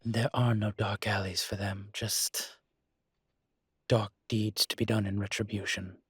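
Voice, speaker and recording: Male actor as incubus demon, husky, fairly even intonation, wry, proud, articulate, intimate audio roleplay, dry sound. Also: on the low side, no room sound. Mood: indignant, protective. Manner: wry, articulate, unhurried emphatic phrasing. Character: suave adult male incubus demon, proud, wry, protective of his kind. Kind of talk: roleplay audio drama, intimate conversational monologue.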